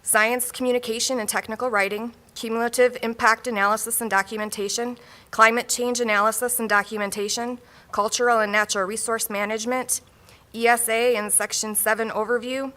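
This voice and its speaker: A woman acting as conference emcee, reading a speaker's biography in a steady, formal way in a large room. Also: fairly high pitch, brisk.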